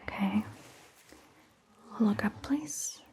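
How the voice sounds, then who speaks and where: A woman softly whispering an ASMR roleplay as a calm, caring vampire doctor, gentle and hushed with pauses, close to the microphone. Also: mid-range voice. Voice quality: soft, breathy whisper.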